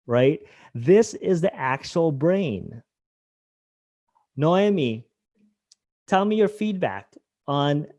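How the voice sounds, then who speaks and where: A man's voice: on the high side, warm tone, no echo. A man from Hawaii presenting a webinar, talking conversationally and asking his audience questions. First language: Filipino language.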